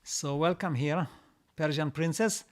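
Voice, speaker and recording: Higher-voiced man, halting and repetitive. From Israel. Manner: halting, frequent restarts and repeated words; reads out comments, then answers. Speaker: host answering viewer comments. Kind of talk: live-streamed casual Q&A.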